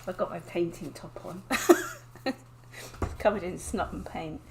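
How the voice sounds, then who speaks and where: A woman from England, chatting casually to viewers on a live stream from her desk, croaky and sniffly with a cold, often laughing. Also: fairly low pitch, measured pace, a little mellow, a little hiss or hum. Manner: chatty, giggly, greets viewers by name. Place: home desk indoors.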